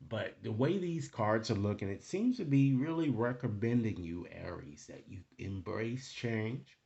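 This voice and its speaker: A man's voice: on the low side, expressive, a little slow, gravelly, dark tone, muffled recording. A man speaking casually as a tarot reader, improvising to the viewer and often checking in with short tag questions. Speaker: tarot card reader, host. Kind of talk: casual, improvised card reading addressed to the viewer.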